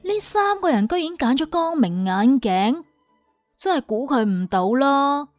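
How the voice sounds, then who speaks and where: A woman acting a proud, hurried businesswoman in a Cantonese audio drama, with a southern Chinese Cantonese accent. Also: high voice, big pitch swings, no echo, clean studio sound, soft background music. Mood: surprised, urgent. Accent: Hong Kong or Guangdong Cantonese. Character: proud, hurried businesswoman running her own company.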